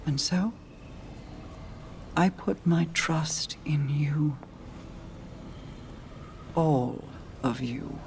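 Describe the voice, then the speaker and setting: A woman's voice: low voice, very slow, long pauses, a little mellow, slight background noise, exaggerated voice. A woman playing a regal, guarded queen and protective sister in a video game, speaking formally and solemnly. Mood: grave, reluctant. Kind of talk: scripted video game drama.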